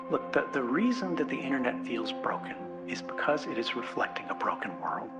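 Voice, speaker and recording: An American man giving an informal, unscripted public speech in a conversational, emphatic way, often checking in with rhetorical questions. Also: medium pitch. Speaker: conference speaker. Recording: speech with music laid underneath. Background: music.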